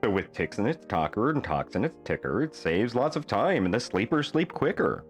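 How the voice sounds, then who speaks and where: A man reading a rhyming children's bedtime story aloud in a playful, theatrical manner with deliberate phrasing. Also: fairly low pitch, big pitch swings, soft background music. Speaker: storybook reader.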